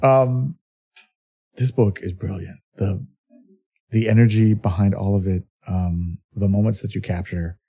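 A man co-hosting a podcast in casual chat, English his second language after Spanish, animated and joking. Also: fairly low pitch, measured pace, breathy edge. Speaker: podcast co-host.

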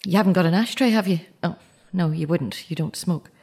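A middle-aged mother worn fragile by grief. Her voice sits a little low, and she speaks haltingly, correcting herself as she confides. It is naturalistic radio drama. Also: a little mellow, slightly rough. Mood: grieving, restrained. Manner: halting, self-correcting, confessional. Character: grieving mother of a murdered teenage son, fragile. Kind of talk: naturalistic audio drama acting.

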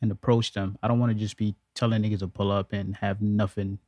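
Low-voiced man in a dry room. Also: level tone, fairly quick, dark tone, no room sound. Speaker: podcast guest. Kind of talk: casual chat.

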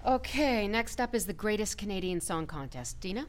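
Lady playing efficient deputy in a radio comedy, dry n businesslike, pitch moves a lot, bit of room noise. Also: animated, moderate pace, natural voice. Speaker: actor in a satirical audio drama.

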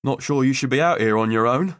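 A man voicing a pushy, over-helpful gentleman with a polite, insistent manner in an audiobook. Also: mid-range voice, fairly quick, no room sound, studio quality, pitched above the performer's own voice, natural voice. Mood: officious. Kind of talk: audiobook character voice.

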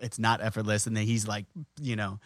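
A man's voice: on the low side, little pitch movement, a little fast, no room sound. A man hosting a casual interview, easygoing as he steers the conversation. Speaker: host.

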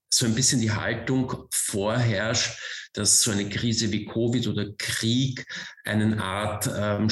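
A man's voice: fairly low pitch, roomy sound. A man with a Viennese accent speaking as a guest at a panel talk, thinking aloud and often restarting his sentences. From Vienna, Austria.

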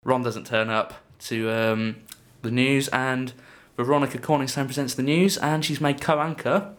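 A young man with a slightly croaky voice guests on a casual film podcast in a small studio. He rambles and hesitates, with plenty of fillers, as he recounts a plot. His pitch sits in the middle of the range. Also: fairly even intonation, normal speed.

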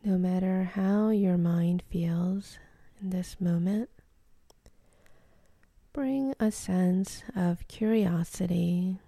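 A woman guides a meditation in a very dark, mellow voice with a gravelly fry at the edges. She speaks softly, close to a whisper, and takes her time with gentle, soothing instructions, leaving generous space between phrases. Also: lowish voice, dry recording. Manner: soothing, gentle, unhurried, with long pauses.

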